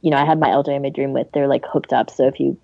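A woman's voice: very deep voice, fairly even intonation, very fast, gravelly, no room sound. A woman co-hosting a podcast in a casual discussion style, on slightly lo-fi audio. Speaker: podcast co-host reading listener questions.

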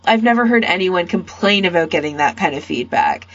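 A woman's voice: lowish voice, expressive, brisk. A woman talking casually in a podcast discussion about fan audio. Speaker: podcast speaker, fan podficker. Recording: dull lo-fi voice recording.

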